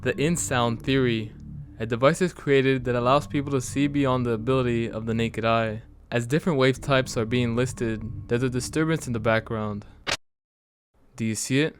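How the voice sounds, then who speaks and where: A man giving a measured, serious scripted narration in a storytelling manner, as the narrator of an analysis video. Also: medium pitch, steady tone, a little hiss or hum. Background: music under the voice.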